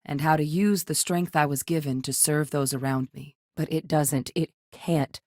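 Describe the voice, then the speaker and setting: A woman voice actress playing a determined, principled soldier-scientist in a space adventure video game, speaking earnestly and conversationally with military briskness. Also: low-pitched, steady tone, darkish tone, dry recording, studio quality. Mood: focused, measured. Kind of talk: acted video game dialogue.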